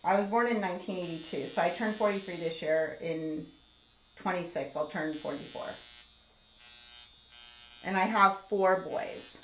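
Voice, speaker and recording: A woman's voice: on the low side, a little slow, long pauses, echoey room, slight background noise, poor audio. A woman in her forties hosting a live skincare stream, chatting casually while she explains each step and reads the chat aloud. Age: 40s. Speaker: skincare livestream host.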